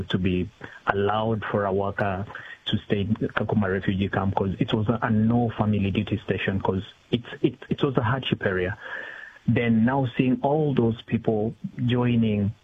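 A middle-aged man giving spontaneous interview answers, haltingly, repeating words as he thinks. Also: fairly low pitch, steady tone. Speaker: interview guest, community executive officer. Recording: tinny phone line with hiss.

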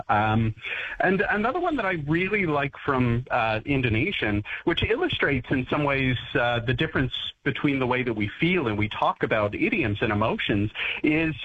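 Enthusiastic linguist on a dull, husky line. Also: male voice, mid-range voice. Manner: enthusiastic, explanatory. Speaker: linguist guest. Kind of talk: radio interview.

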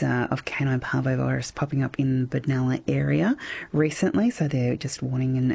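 An Australian man hosts a casual radio programme. His voice is dark and gravelly, with plenty of fry. He phrases questions and links loosely, with some hesitation. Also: medium pitch. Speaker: radio host.

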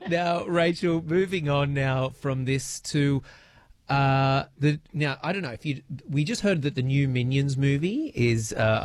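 A man hosting a radio show in a playful, jokey way. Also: medium pitch. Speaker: radio host. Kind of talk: casual radio chat.

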